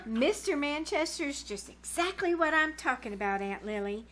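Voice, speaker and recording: A high, swooping voice for a woman acting as a bossy teenage girl in an old-time radio comedy. She gushes and lectures in dramatic slang, sure she knows everything. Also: expressive, moderate pace, background noise. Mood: bossy, gushing. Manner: slangy, dramatic, know-it-all. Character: bossy, gushing teenage girl, self-styled expert on boys. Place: small-town theater stage, live performance.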